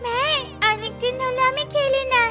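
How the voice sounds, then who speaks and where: Cartoon chick, young girl, voiced by a woman: squeaky high, sweet, dutiful, over music. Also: fairly even intonation, moderate pace. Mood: sweet, earnest. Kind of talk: children's cartoon voice acting. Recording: music behind the voice.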